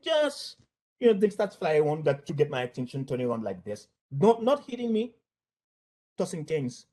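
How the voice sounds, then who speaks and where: A man with a Haitian accent recounts personal experiences as a former employee in an unscripted way. His voice sits a little high for a man. He speaks haltingly, often doubling back on words and phrases. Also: sing-song, mellow. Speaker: former bank employee giving testimony. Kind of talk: spontaneous spoken account of workplace incidents.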